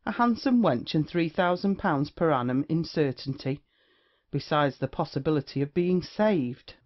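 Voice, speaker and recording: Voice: medium pitch, expressive, normal speed, close to an everyday voice. A performer playing a brash, witty young male rake in a period comedy audio play, with archaic, swaggering, flippant speech. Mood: flippant, boastful. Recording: dull, lo-fi audio.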